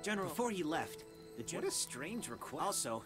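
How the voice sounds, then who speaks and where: A man's voice: a little high, big pitch swings, fairly quick, fairly bright tone. An actor playing a courteous, earnest young male soldier in a fantasy video game. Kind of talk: video game dialogue acting. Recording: game music over voice.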